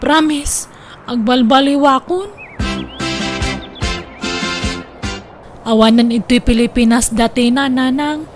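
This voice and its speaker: A woman playing an excitable, man-hungry older sister in a comedy radio drama, exclaiming theatrically. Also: high-pitched, normal speed, over music, close to an everyday voice. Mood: apologetic, pleading. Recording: faint background noise.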